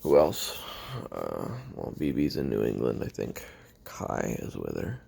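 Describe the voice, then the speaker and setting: Male actor as young ex-assassin, extremely low voice, heavy fry, weary, lonely, halting tape-diary confession, audio drama. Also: sing-song, mellow, exaggerated voice. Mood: melancholy, resigned. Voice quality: gravelly, husky. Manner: halting, brooding, trailing off with sighs and pauses.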